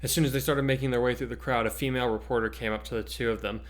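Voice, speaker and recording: A man's voice: medium pitch, steady tone, rapid-fire, roomy sound. A man with a mid-range voice works as host and narrator. He talks casually and also reads stories aloud with drama. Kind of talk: casual chat and dramatic story reading.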